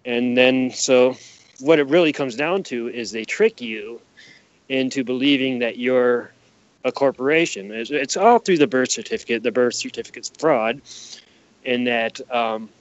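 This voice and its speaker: A man's voice: mid-range voice, measured pace, no echo. Emphatic man, dull lo-fi recording. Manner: emphatic, repeats key phrases, poses rhetorical questions. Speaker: podcast guest. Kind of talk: conspiracy-minded explanatory interview talk. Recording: dull, lo-fi sound.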